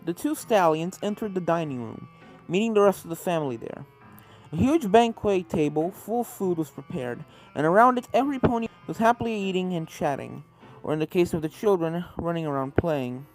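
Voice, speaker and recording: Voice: mid-range voice, expressive, fairly quick, a little mellow, rough recording. A storyteller reading scripted fiction aloud as a narrator, slipping into character voices for the dialogue. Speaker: fan fiction reader, narrator. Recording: game music under the voice. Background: video game soundtrack music.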